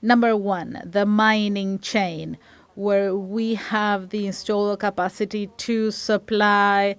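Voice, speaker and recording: A woman working as a simultaneous interpreter for a conference panel, her voice smooth and clean. She speaks into a close microphone with a dry, dead sound and no room around her. Her delivery is halting at times, with quick restarts as she keeps up with the speaker. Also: medium pitch, no echo. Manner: halting, with frequent restarts while keeping up.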